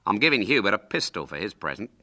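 Man voicing a hearty, breezy, bright-voiced uncle. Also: on the low side, very animated, exaggerated voice. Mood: jovial, hearty. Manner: loud, brisk, exclaiming.

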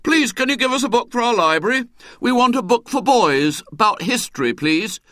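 A man voicing a bold, polite schoolboy asking firmly and brightly. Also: very high voice, animated, moderate pace, dry recording, clean studio sound, pitched above the performer's own voice. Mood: earnest. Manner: firm, bright.

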